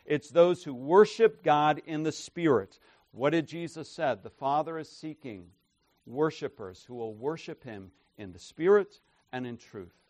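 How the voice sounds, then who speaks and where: A man preaching an expository sermon, emphatic and tagging his points with "right?", his loudness swinging from soft asides to forceful declarations. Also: fairly high pitch, animated, measured pace, no room sound. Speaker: pastor.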